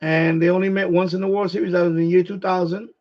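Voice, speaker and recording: A middle-aged man from New York with a New York accent, hoarse, chatting casually on his livestream and restarting his phrases as an eager sports fan. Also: high-pitched, flat delivery, fast. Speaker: livestream host. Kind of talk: casual rambling chat. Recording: dull lo-fi stream audio.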